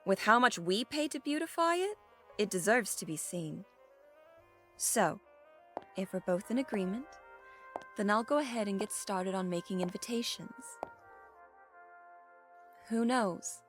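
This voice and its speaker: A woman's voice: medium pitch, animated, a little slow, long pauses, fairly bright tone, faint music behind. Woman acting a poised, coaxing schemer. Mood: persuasive, calculating. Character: poised, scheming, coaxing wife of a powerful man. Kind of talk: audio drama acting.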